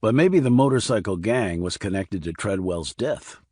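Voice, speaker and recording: A skeptical military investigator, played by a male narrator from a crime audiobook. He speaks in a low voice, terse and sardonic. The recording is dry and close. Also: animated, moderate pace, a little mellow, husky, dry recording. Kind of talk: audiobook character dialogue.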